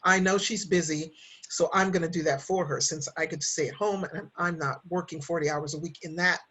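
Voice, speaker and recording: A woman's voice: deep voice, fairly even intonation, a little fast, fairly bright tone, slightly rough. A woman talking casually as a podcast guest, chatty and thinking aloud as she goes. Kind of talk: casual interview chat.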